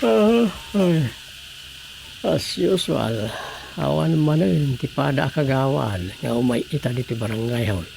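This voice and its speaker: A man's voice: medium pitch, expressive, a little slow, creaky, poor audio. A man acting in an Ilocano radio drama as a chatty, reflective village man, with an Ilocano Filipino accent. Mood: somber, reflective.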